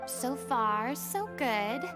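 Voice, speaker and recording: Casting: coy, theatrical young celebrity lady for a game, high voice, slow delivery, music underneath. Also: lively intonation. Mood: curious, composed. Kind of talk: scripted video game acting.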